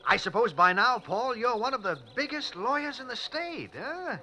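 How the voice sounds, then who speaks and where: A man's voice: very high voice, animated, moderate pace, bright, forceful tone, slight background noise, exaggerated voice. An actor playing a boisterous, prosperous classmate in his thirties in a 1940s radio drama, hearty and back-slapping. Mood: jovial.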